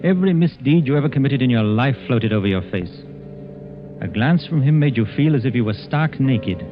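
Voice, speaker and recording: An actor playing a reflective gentleman telling of his friend in intimate, dramatic 1940s radio style. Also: medium pitch, expressive, fairly quick, faint music behind, close to an everyday voice. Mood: awed, uneasy. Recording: dull 1940s radio recording.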